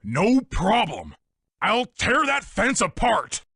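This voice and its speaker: A man's voice: sits high, wildly swooping pitch, slow, sharp tone, breathy edge, dry recording, studio quality, exaggerated voice. A man voicing a big, dim, boastful animal strongman in a cartoon video game, with a grandiose, hearty manner. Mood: eager. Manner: grandiose, speaks of himself in third person.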